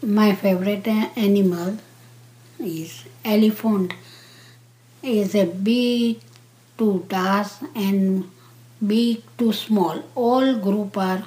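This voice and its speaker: Slow-speaking grandmother in her seventies. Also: mid-range voice, mellow. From Kenya. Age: 70s. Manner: halting, simple phrasing with pauses. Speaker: interview guest, grandmother. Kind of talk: slow casual interview answers.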